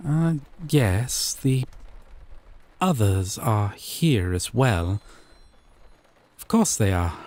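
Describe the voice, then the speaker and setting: A man playing a gentle, possessive yandere boyfriend from a dating sim, speaking tenderly and coaxingly with deliberate pauses in a scripted roleplay. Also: fairly low pitch, very animated, full character voice. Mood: calm, explanatory. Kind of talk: scripted roleplay audio drama.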